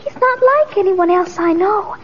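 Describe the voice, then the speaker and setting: Tender-hearted small girl for vintage radio drama; very high, earnest, dated dull sound. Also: animated, normal speed, slight background noise. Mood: earnest, upset. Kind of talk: 1940s radio acting. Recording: dull old radio recording.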